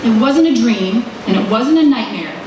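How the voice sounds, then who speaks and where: A feisty young female reporter from an old radio serial, acted by a woman, insistent and easily exasperated. Her high voice swings widely and rings through a very echoey, noisy room. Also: expressive, moderate pace, recorded from a distance, lightly acted. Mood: indignant. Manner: exasperated, sharp-tongued, insistent. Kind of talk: 1940s radio acting. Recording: roomy, noisy live stage recording from afar.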